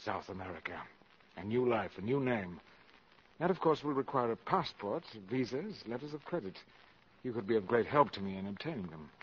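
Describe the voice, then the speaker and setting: An actor playing a calculating, ambitious valet in a 1950s radio drama, speaking formally and deferentially but with self-assurance. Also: male voice, fairly low pitch, expressive, brisk, slight background noise, close to an everyday voice. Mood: coolly persuasive. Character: smooth, calculating, ambitious valet addressing a countess. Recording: muffled vintage 1950s radio recording.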